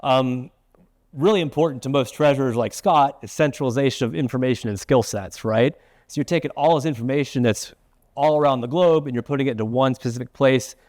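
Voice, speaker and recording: A man's voice: medium pitch, darkish tone, dry recording. A man with a mid-range voice presents business material. He explains points in a conversational way, checking in as he goes. The sound is dry, with very little room in it. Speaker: webinar presenter.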